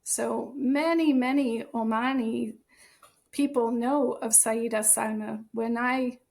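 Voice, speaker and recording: High-voiced American woman with some fry. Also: steady tone, slow, darkish tone. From United States. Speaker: author and translator, interview guest. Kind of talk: conversational podcast interview.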